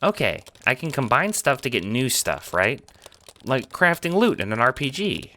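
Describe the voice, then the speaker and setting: A man acts out a naive, excitable kid in an audio drama. The delivery is casual and sweary, swinging widely in pitch with hesitant, incredulous turns. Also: normal speed. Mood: puzzled.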